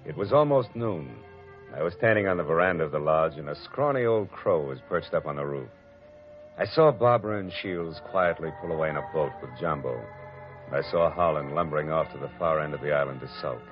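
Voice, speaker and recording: A man's voice: extremely low pitch, expressive, fairly quick, over music. An actor playing a cynical, wisecracking male private detective in a 1940s radio drama, narrating in a tough, dry manner. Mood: wry. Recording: dull old radio broadcast recording.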